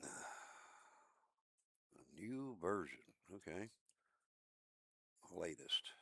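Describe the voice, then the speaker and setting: A man with a low voice chatting casually with viewers while streaming a game, in a laid-back, talkative way. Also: big pitch swings, extremely slow, gravelly, fairly bright tone, breathy edge, dry recording, clean studio sound. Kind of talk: casual live-stream chat.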